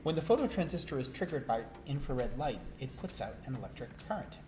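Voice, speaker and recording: A woman's voice: extremely low pitch, very animated, brisk. A woman instructor presenting a hands-on tutorial, explaining step by step and prompting with questions. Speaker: presenter and instructor of a DIY electronics show. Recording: roomy echo, music behind. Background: background music.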